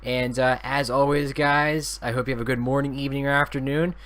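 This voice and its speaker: A man's voice: medium pitch, level tone, brisk, a bit bright. A man hosting a gaming channel, reading visual novel narration aloud and commenting in an informal, unassuming way.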